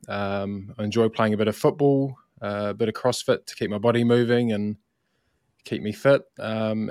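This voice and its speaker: A man with a New Zealand accent, relaxed and unhurried, introducing himself as marketing lead with lots of ums. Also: lowish voice, no echo, studio quality. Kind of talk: casual self-introduction on a livestream.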